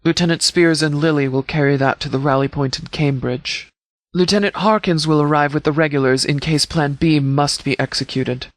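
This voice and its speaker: A man voicing a stern military commander in a video game, speaking formally and with measured authority as he briefs. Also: fairly high pitch, fairly even intonation, no echo, lightly acted. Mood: commanding. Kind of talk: scripted game dialogue, mission briefing.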